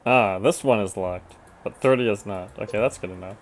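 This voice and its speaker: A woman's voice: rumbling low, brisk, slight background noise. Casual woman streaming a game. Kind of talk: casual live gameplay commentary. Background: game music.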